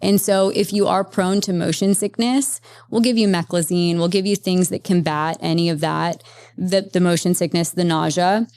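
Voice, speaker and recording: A woman therapist speaking as a podcast guest, explaining at length in a lively, conversational way with many fillers. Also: lowish voice, normal speed, smooth. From Las Vegas, Nevada, USA. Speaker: guest, psychedelic integration therapist. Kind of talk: conversational interview.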